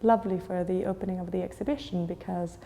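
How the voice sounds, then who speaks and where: A woman's voice: on the low side, fairly even intonation, fast talker, dark tone, in a large hall, slight background noise. A woman in her forties from Chile, answering interview questions thoughtfully, with many fillers and restarts. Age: 40s. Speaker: gallery owner.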